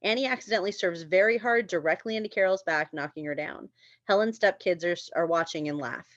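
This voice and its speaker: Woman reading out screenplay action lines, lowish voice, dry muffled mic. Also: brisk, no room sound. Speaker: stage directions reader. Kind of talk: scripted narration at a screenplay table read.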